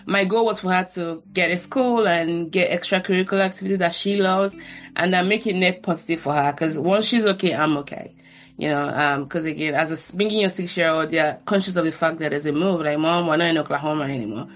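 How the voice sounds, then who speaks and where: A woman in her thirties whose first language is Yoruba, hosting a casual podcast conversation in an animated, chatty way with many restarts. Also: lowish voice, a little fast. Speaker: podcast host; cancer researcher.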